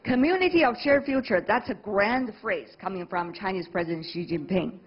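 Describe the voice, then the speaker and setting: A woman hosting a televised debate, brisk and probing as she prompts her guests and polls the audience. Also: medium pitch, breathy edge, muffled recording. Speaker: TV debate host. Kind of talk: moderating a televised panel. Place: TV studio with audience.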